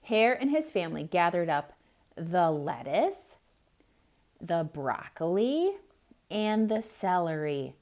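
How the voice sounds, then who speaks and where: A woman reading a picture book aloud to children, pausing to point things out and ask them questions. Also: on the low side. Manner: slow, expressive, asks children questions. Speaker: children's storyteller.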